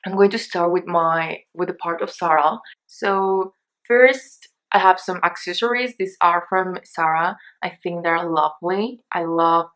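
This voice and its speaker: A woman's voice: fairly low pitch, fairly bright tone. A young woman from Mexico, a Spanish native speaker with a Mexican accent, chatting casually through a shopping haul, rambling and hesitant, with many fillers and restarts. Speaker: beauty vlogger.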